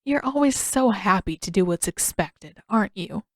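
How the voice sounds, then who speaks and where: A woman's voice: medium pitch, lively intonation, moderate pace, dry recording, clean studio sound. A woman voicing a smug, insinuating teenage boy in an audiobook story.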